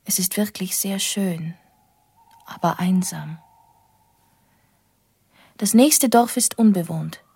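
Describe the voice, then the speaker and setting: An actress playing a lonely, wistful young woman, narrating a radio drama in a breathy, half-whispered, reflective voice. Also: lowish voice, takes it slowly, long pauses, dark tone, dry recording, exaggerated voice. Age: young adult. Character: lonely, wistful young woman recalling her childhood in a castle. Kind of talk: intimate first-person radio drama narration.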